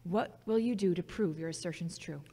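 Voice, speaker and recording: A woman's voice: on the low side, fairly quick, mellow. An actress playing a frank, logical, critical yet caring former girlfriend in a scripted audio drama. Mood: skeptical. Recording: long hall-like reverb.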